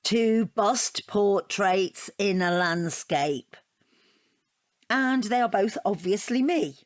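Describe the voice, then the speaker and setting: Rambling, self-deprecating divorced woman in audio drama, played by an actress; earnest, easily flustered, mid-pitched, dry sound. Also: slow pace, no room sound, close to an everyday voice. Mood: flustered, wistful. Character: chatty, self-deprecating divorced woman taking an art appreciation class. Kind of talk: naturalistic audio drama dialogue.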